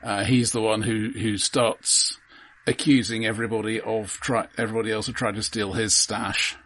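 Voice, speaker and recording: A man with a lowish, rough-edged voice talking as co-host of a film podcast. His delivery is casual and explanatory, halting as he reworks his phrasing. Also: flat delivery, fairly bright tone. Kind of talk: casual analytical film discussion.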